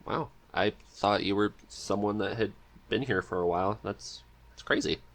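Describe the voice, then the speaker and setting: Low-voiced man hosting a casual podcast. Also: normal speed, vocal fry, fairly bright tone. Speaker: podcast host interviewing a guest.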